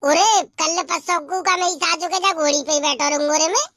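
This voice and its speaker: An anxious young pigeon groom in a comic cartoon. The voice is extremely high, squeaky and sharp, artificially raised. It complains and pleads with a western Uttar Pradesh accent. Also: male voice, fairly even intonation, fairly quick, no room sound, clean studio sound. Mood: whiny, frustrated. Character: whiny, anxious young male pigeon bridegroom.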